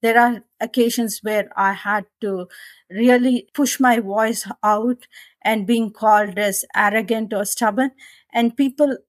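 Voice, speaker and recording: A woman's voice: on the high side, fairly even intonation, measured pace, no echo. A middle-aged woman from India with an Indian English accent, answering interview questions earnestly and with determination. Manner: earnest and determined, thinks aloud with restarts. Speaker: guest. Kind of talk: conversational interview.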